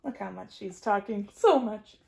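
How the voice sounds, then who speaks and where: A woman reading a picture book aloud as a teacher, expressive and putting questions directly to young listeners. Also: medium pitch, normal speed, vocal fry, background noise. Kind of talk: animated children's read-aloud with questions.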